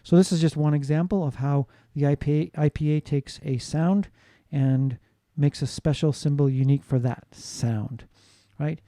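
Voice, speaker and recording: A man's voice: on the high side, fairly even intonation, normal speed, hardly any pauses, mellow, no echo, studio quality. A man from the United States giving a classroom lecture on phonetics, explaining steadily and often asking "right?" to check in with students. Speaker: English teacher.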